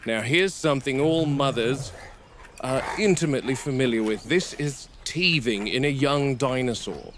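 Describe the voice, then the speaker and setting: An actor playing a stern, blunt park game warden in a found-footage horror drama, speaking tersely and dryly. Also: male voice, medium pitch, animated, normal speed, close to an everyday voice. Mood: matter-of-fact, observing. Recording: noisy degraded VHS tape sound.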